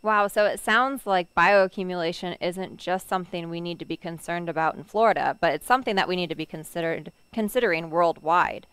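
A woman's voice: on the low side, fairly quick, hardly any pauses, a bit bright, smooth, no room sound. A young woman hosting an educational program, upbeat and asking guided questions into a headset mic in a studio. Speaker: host. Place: studio set.

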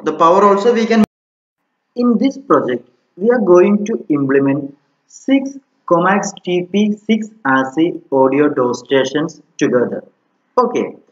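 Slow-talking man in an echoey room. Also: high-pitched. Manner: explanatory, often checking with "okay". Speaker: instructor. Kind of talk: informal technical tutorial. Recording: muffled, roomy, echoey home recording.

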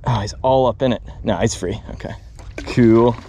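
A man in his thirties on a small boat, chatting excitedly and poking fun at himself as he fly fishes on camera. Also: mid-range voice, moderate pace, a little hiss or hum. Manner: excitable, chatty, self-deprecating. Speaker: fly fishing vlogger. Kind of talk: casual on-camera fishing narration. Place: small open boat on the water outdoors. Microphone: action camera on the boat.

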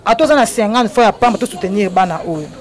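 A middle-aged woman speaks earnestly into a handheld mic outdoors. She preaches with feeling, and her voice sits at an ordinary pitch. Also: expressive, fast, some chatter behind. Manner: earnest, preaching tone, tearful at times. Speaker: NGO president visiting an orphanage. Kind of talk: impromptu speech to a crowd. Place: outdoor courtyard of an orphanage. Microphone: handheld microphone.

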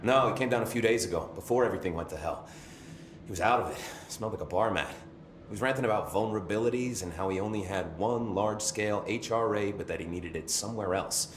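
A man's voice: on the low side, fast, slight background noise, lightly acted. A man voicing a young security chief, wisecracking and chuckling in a casual way. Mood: wry. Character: young male security chief, wry, jokey. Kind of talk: video game cutscene. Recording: echoey room.

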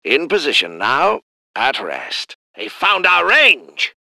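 A formal, clipped British tank gunner. His voice is high and very bright and swings widely, and he takes his time over each line. It is a dry, close studio take. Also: wildly swooping pitch, takes it slowly, gravelly, no echo, exaggerated voice. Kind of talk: video game unit voice lines.

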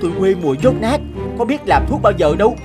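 Flustered poor young farmer. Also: male voice, high-pitched, sing-song, quick pace. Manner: stammering, protesting, panicky. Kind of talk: animated folk tale voice acting. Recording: music bed behind the voice.

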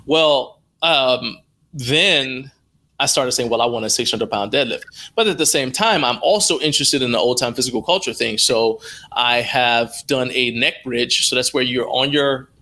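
A man's voice: mid-range voice, normal speed, sharp tone. A middle-aged American man telling anecdotes casually as an interview guest on a video call, acting out bits of dialogue as he goes. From born in Liberia, moved to the United States as a child. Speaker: interview guest, strength athlete and live streamer. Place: indoor room, video call.

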